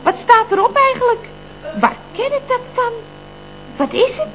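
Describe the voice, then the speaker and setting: A woman's voice: very high voice, sing-song, moderate pace. An actress playing a curious, teasing office woman in a radio comedy, speaking emphatically and inquisitively. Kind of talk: 1970s radio comedy acting. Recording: dull old radio recording, audience noise.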